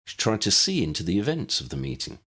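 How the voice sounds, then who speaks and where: Low-pitched husky man reading a story. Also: huge pitch swings, quick pace, bright, forceful tone. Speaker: audiobook narrator. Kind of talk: scripted story narration.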